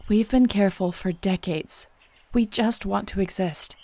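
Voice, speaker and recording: An actress playing a defiant, principled young shapeshifter heroine in an audio drama, speaking with earnest, firm indignation. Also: female voice, medium pitch, measured pace, dark tone. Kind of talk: dramatic audio roleplay acting.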